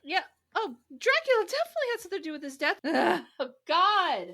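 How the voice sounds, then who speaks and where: A woman hosting a casual book chat podcast, expressive and exasperated, swearing freely. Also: very high-pitched, fast. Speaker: podcast host. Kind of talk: casual chat about a novel.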